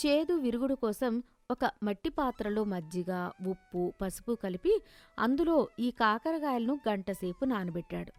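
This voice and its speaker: A woman's voice: mid-range voice, a little mellow. A woman narrating a scripted moral story as a storyteller.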